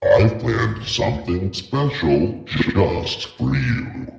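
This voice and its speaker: A man's voice: on the low side, animated, creaky, exaggerated voice. A man playing a menacing animatronic bear killer who taunts its prey in a horror broadcast story. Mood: quiet menace. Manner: slow, drawn-out taunting. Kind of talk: horror emergency-broadcast fiction. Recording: very noisy, hissing background.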